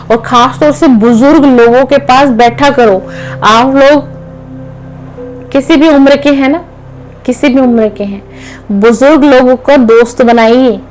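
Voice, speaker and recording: A middle-aged woman reading tarot cards, giving gentle, repetitive life advice to her viewers. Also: fairly high pitch, rough recording. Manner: gentle, repetitive, advising with frequent "theek hai na". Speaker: tarot card reader. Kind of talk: spiritual guidance talk to viewers. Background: faint music.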